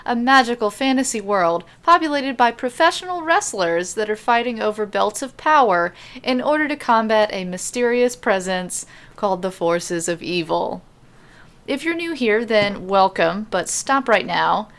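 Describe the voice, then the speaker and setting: A woman's voice: medium pitch, expressive, normal speed, fairly bright tone. A woman hosting a storytelling podcast, speaking in a self-deprecating, dryly humorous way. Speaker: podcast host and writer. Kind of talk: casual rambling podcast intro, then audiobook-style narration.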